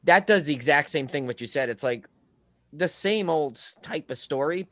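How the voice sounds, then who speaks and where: A man hosting a podcast, chatting casually about films in halting phrases that often circle back. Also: on the high side, expressive, fairly bright tone, no echo. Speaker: podcast host. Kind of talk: casual film chat.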